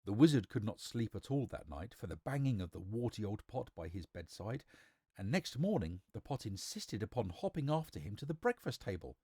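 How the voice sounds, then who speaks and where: Male storybook narrator, theatrical delivery, very lively pitch movement, dry close recording. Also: fairly quick, slightly rough, no echo. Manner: theatrical, emphatic.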